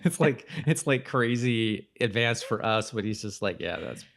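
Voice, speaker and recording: A man's voice: medium pitch, expressive, moderate pace, vocal fry, a bit bright, a little hiss or hum. A man co-hosting a podcast, chatting informally about a TV show in a conversational, easily amused way. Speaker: podcast co-host. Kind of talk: casual chat about a TV show.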